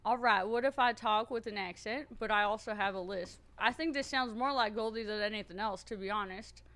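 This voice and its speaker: A woman streamer chatting loudly and nervously to her viewers, thinking out loud and swearing freely. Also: medium pitch, brisk. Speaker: streamer playing a roleplay character. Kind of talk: casual chat to stream viewers.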